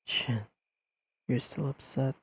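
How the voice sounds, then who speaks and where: A man playing an earnest, awkwardly analytical young best friend in an intimate audio roleplay, speaking haltingly and carefully to the listener. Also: medium pitch, fairly even intonation, vocal fry, breathy edge, no room sound, full character voice. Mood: frustrated, apologetic. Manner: halting, careful, softly pleading, slightly formal wording.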